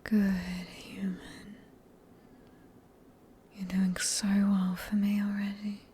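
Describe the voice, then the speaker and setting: Female performer as sadistic British vampire mistress for ASMR roleplay: breathy whisper, very unhurried, menacingly tender, faint background noise. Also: medium pitch, monotone, dark tone, lightly acted. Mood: predatory, commanding. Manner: slow, teasing, condescending endearments.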